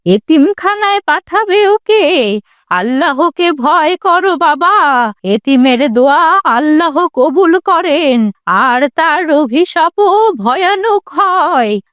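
A woman's voice: sits high, animated, slow, fairly bright tone, no echo, studio quality, full character voice. A woman playing an earnest, imploring, pious elderly grandmother in a cartoon. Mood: pleading, reproachful. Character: tearful, pious elderly grandmother pleading for justice. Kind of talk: cartoon voice acting.

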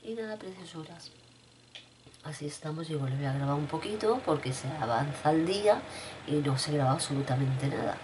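A middle-aged woman talks to her webcam at home in a low voice. She has a cold and her throat is rough. Her chat is loose and repetitive, with a faint hum of room noise behind her. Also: animated, brisk. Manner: chatty, repeats herself, addresses viewers fondly. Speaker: vlogger. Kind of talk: casual chat to viewers. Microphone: webcam at desk.

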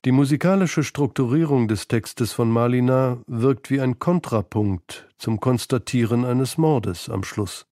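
A man's voice: mid-range voice, unhurried, few pauses, warm tone, no room sound, clean studio sound. A man reading scripted narration as the narrator of a literary feature.

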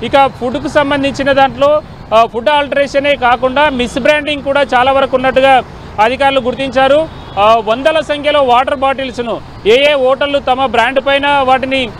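Very high-pitched male street reporter. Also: fairly even intonation, normal speed, fairly bright tone, poor audio. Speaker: field news reporter. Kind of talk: live unscripted news report. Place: busy city roadside, outdoors. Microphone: handheld reporter microphone. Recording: faint outdoor street noise. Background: faint traffic.

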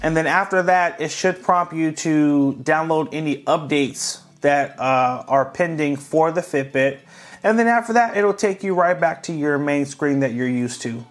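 A man hosting a tech tutorial, calmly narrating each step of a device reset in a casual, friendly way. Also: a little high, unhurried, soft background music. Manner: friendly, relaxed, walks viewers through each step.

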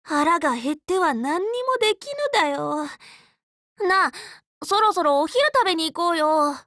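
Anime game role: teenage magical girl, loyal tomboy leader, bright forceful tone, very lively intonation, dry close studio sound, frank and casual. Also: very high-pitched, moderate pace, no room sound, full character voice. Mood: playful. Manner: casual, boyish, spirited, frank speech. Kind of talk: anime game character voice lines.

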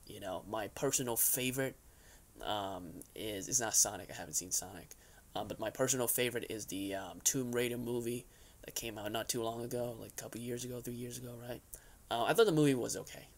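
A man's voice: medium pitch, a little fast. A young man talking in a casual, rambling opinion vlog, tagging his points with little check-in questions. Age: young adult. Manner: conversational, rambling, frequent "right?" and "you know" tags. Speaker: YouTube host. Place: furnished basement room, indoors.

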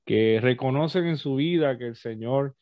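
A man in his thirties, a pastor hosting an interview over a headset, asking long, measured, churchly questions. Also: medium pitch, dry recording. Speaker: pastor and host. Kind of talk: interview over video call. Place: home office with bookshelves. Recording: dry, lo-fi video call audio.

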